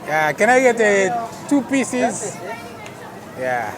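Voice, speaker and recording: Slow-talking man vlogging a busy market. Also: high-pitched, very animated. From Texas. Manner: chatty, slow, with frequent wondering exclamations. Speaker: travel vlogger. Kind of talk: casual walking vlog narration. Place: busy outdoor night food court. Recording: noisy crowded street market recording. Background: crowd chatter and traffic.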